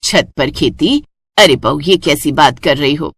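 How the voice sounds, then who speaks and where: Woman voicing a bossy older village mother-in-law, pitched down. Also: lively intonation, measured pace, breathy edge, no echo, studio quality, exaggerated voice. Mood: doubtful. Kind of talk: Hindi moral-story cartoon acting.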